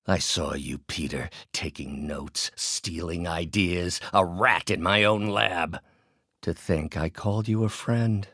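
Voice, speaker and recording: A man's voice: deep voice, takes it slowly, sharp tone, dry recording, clean studio sound, full character voice. A man playing an older scientist turned bitter, vengeful villain in a video game, speaking in a theatrical, precise, erudite way. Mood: bitter, brooding. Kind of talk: video game voice acting, dramatic isolated lines.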